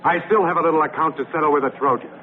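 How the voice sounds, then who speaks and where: A man's voice: fairly high pitch, very fast, very noisy, natural voice. A man playing a loyal, earnest Greek warrior in a dubbed historical epic film, speaking dramatically. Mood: restless, indignant. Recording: tinny old film soundtrack, crowd behind.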